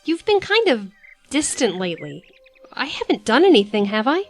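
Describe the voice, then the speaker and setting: An actress playing a curious young travelling companion in an audio drama, with an inquisitive manner. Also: female voice, on the high side, very animated, normal speed, soft background music. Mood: concerned.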